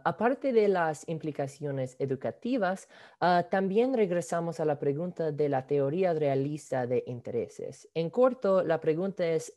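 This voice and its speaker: A student presenting research at an online academic conference, reading a prepared talk with frequent "ah" fillers. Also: male voice, fairly high pitch, mellow. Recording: video call audio.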